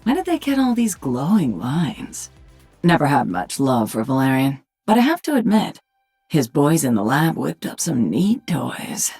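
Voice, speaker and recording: A woman voicing a sardonic, commanding alien-hybrid warrior queen in a video game, with a husky voice. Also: deep voice, very animated, a little slow, darkish tone, faint music behind, exaggerated voice. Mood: dry, wry. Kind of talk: video game character voice lines.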